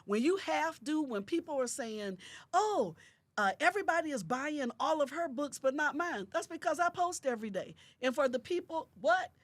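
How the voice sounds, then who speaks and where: A woman in her fifties with a high voice talks in a podcast studio, giving strong, motivational points. She repeats her key phrases for emphasis, like a preacher. The sound is close and dry. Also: expressive, normal speed, no room sound. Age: 50s. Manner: emphatic, preacher-like repetition of affirmations. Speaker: guest, author. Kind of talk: motivational conversation.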